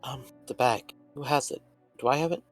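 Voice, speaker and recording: A man's voice: medium pitch, moderate pace, soft background music. A man taking part in a tabletop roleplaying game, speaking in casual table talk as a player.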